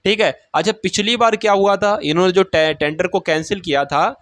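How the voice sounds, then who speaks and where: A man's voice: high voice, a little fast, a bit bright, dry recording. A man presenting exam news, walking viewers through an official notice on screen and reading it aloud while chatting to them as friends. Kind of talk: casual explanatory screen walkthrough.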